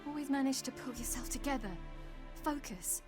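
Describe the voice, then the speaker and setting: Actress as a fiery, high-voiced young heroine. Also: female voice, moderate pace, music in the background, lightly acted. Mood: weary, wry. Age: young adult. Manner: headstrong, outspoken. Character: fiery, stubborn, frustrated young woman with magic powers. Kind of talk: video game voice acting.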